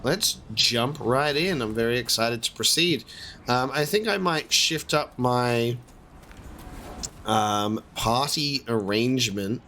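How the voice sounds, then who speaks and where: A hoarse man narrating his game session in a chatty, rambling way. He sounds upbeat despite the strain in his voice, with a faint hum of room ambience behind him. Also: medium pitch, expressive, takes it slowly, sharp tone. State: hoarse from a lost voice. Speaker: let's play host. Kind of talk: casual gameplay commentary.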